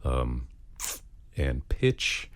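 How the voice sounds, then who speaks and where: A man giving vocal instruction in a calm, explanatory way. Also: rumbling low, drawn-out words, dry recording, clean studio sound. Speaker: vocal coach. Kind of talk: instructional video.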